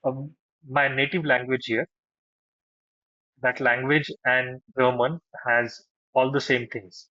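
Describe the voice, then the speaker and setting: A man's voice: mid-range voice, level tone, takes it slowly, pushed and bright, dry recording. A man whose first language is Marathi, chatting casually and with opinions on a video call. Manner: talkative, opinionated, joking. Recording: muffled dry video call audio.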